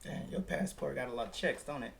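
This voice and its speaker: Gravelly laid-back podcast host man. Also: medium pitch, fast talker. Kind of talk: casual chat.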